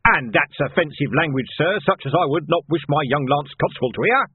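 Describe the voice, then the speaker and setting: A phone-like, narrow recording holds a man acting out a stolid police sergeant with a husky voice. He plays dim on purpose, deadpan and mock-polite, an unshakable copper from an audiobook. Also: a little high, a little fast, no room sound, pitched above the performer's own voice. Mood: mock-official. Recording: narrow band, phone-like sound.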